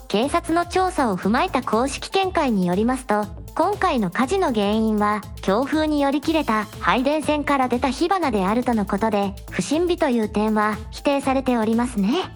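A woman's voice: sits high, expressive, moderate pace, hardly any pauses, soft background music. A woman voicing a polite, supportive young princess hosting a livestream in a radio drama, speaking in formal honorifics. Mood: curious.

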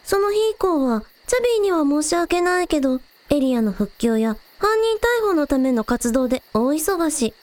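A woman's voice: sits high, sing-song, moderate pace, few pauses, a little mellow. A woman playing a spirited, caring young village girl who leads her team, casual and earnest, in a radio drama. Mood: apologetic, fond.

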